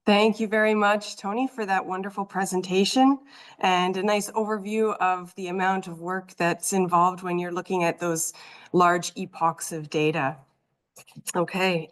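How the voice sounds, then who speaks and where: A woman moderating a video conference, thanking the last speaker and moving on politely to her own presentation. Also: on the low side. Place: video call.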